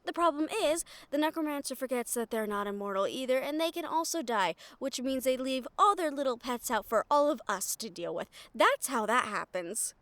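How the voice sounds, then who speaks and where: Woman voicing a sardonic lady thief for fantasy audio rp, highish voice, nervy but blunt, sighs and dramatic pauses. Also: lively intonation, a bit bright, no room sound. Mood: scornful. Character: wry, foul-mouthed female shadow thief, nervous but frank. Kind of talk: fantasy audio roleplay addressed to listener.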